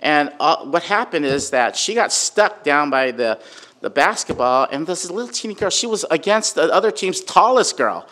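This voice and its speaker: A man giving an informal sermon with a personal story, talking conversationally and loudly, with many fillers and frequent "right?" check-ins. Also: fairly high pitch, very animated, moderate pace. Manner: conversational, filler-heavy, asks "right?" often; says he has a really loud voice. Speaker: preacher.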